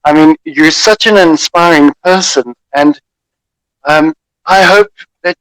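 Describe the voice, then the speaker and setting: South African radio host, hesitant. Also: male voice, fairly high pitch, slow, clear, resonant tone, no echo, low-quality recording. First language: Afrikaans. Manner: warm, hesitant, with many fillers. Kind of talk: interview over video call. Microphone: video call.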